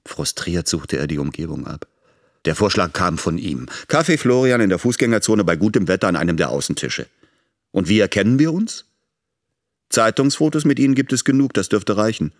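Wary brisk man telling his side in a radio drama, low voice, very expressive. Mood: brisk, puzzled.

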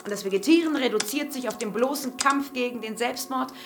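A woman's voice: on the high side, brisk, natural voice. A woman acting in a radio play as a sober, unsparing inner voice, articulate and grave in her literary narration. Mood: grave, analytical. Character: female inner voice dissecting manic-depressive illness, sober, unsparing. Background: music.

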